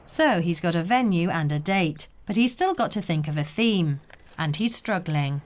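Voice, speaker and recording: A woman narrating a reality documentary in a bright, lilting storytelling manner. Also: on the low side, animated, normal speed.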